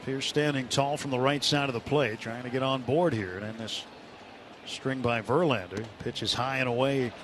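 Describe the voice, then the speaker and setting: Male baseball radio announcer, mid-pitched voice, live play-by-play with heavy outdoor background noise. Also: moderate pace. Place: outdoor ballpark broadcast booth. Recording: noisy open-air stadium broadcast.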